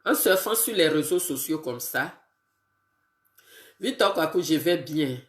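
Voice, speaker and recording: Lady w a bad cold on a phone livestream, slow halting talk, echoey room. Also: sits low, lively intonation. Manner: greets viewers one by one, slow and halting with fillers. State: congested with a cold, sniffly and tired. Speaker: political live streamer. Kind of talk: casual live video chat greeting viewers.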